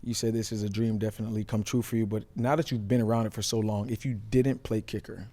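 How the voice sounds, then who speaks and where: A man's voice: fairly low pitch, little pitch movement, fast talker, dark tone. A man co-hosting a casual sports interview, conversational and repeating phrases for emphasis. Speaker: sports show co-host, former American football safety.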